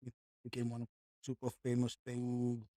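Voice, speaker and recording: Rambling man with mellow tone. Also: medium pitch, completely flat delivery, normal speed, no echo, clean studio sound. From India. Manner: rambling, with frequent restarts and "like", "right?". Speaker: podcast guest. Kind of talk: reflective conversational chat.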